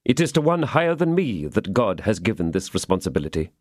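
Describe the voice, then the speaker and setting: A man voicing a grave, upright young soldier who speaks in a measured, serious way. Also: lowish voice, sing-song, a little mellow, dry recording, clean studio sound.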